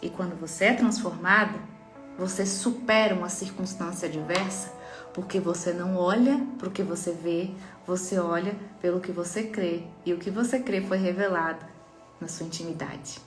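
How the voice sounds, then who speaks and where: A woman's voice: lowish voice, normal speed. A woman with a Brazilian Portuguese accent, a mentor giving an inspirational faith talk in an earnest, emphatic way, speaking straight to her listeners. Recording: echoey room with faint music.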